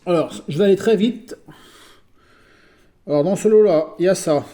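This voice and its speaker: A man from France talks casually through his collection of toy vehicles. He hesitates often and fills gaps with small sounds. His voice sits a little high and sounds warm and mellow. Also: normal speed. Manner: chatty, hesitant with many fillers. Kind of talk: casual video presentation.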